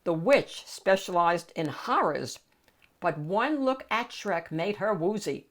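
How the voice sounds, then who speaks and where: A woman's voice: fairly low pitch, expressive, slow. A woman hosting a children's read-aloud, narrating a picture book expressively.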